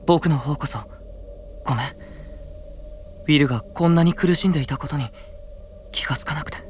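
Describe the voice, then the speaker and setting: A man acts a caring young fantasy companion. His fairly high voice is gentle and soothing, with noticeable ambient sound around it. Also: moderate pace, a little hiss or hum, close to an everyday voice. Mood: consoling, apologetic. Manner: gentle, reassuring, soft. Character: gentle, caring young male friend of a knight. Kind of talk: Japanese fantasy voice drama acting.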